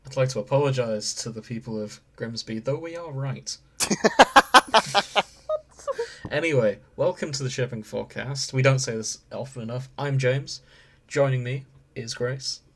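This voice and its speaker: A man's voice: mid-range voice, unhurried, fairly bright tone. A man with a British accent hosting a podcast in a casual, joking style. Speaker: podcast host. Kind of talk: casual comic banter.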